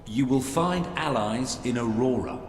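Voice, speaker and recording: An actor with a British accent playing a cocky, irreverent, wisecracking soldier in a fantasy video game, sardonic in manner. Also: male voice, medium pitch, measured pace, soft background music, close to an everyday voice. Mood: wry, determined. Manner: sardonic, animated. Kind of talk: dramatic game dialogue.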